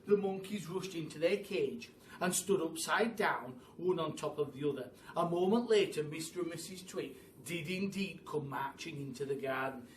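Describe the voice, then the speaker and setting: A man reading a storybook to children in an animated storytelling style, recorded in a roomy space. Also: on the high side. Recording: roomy, distant mic, faint hiss.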